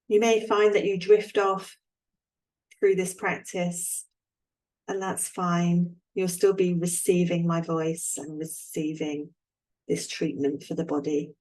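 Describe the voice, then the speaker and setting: A woman with a slightly low-pitched voice guides a relaxation meditation. She speaks calmly and soothingly, leaving unhurried pauses between her gentle instructions. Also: rough recording.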